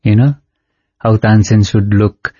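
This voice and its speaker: A man whose first language is Nepali, a devotional singer telling stories in an unhurried way, pausing often. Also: fairly low pitch, steady tone, warm tone, slightly rough, dry recording, highs cut off. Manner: unhurried storyteller, pausing with "uh" and light laughs. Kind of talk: reminiscing in conversation.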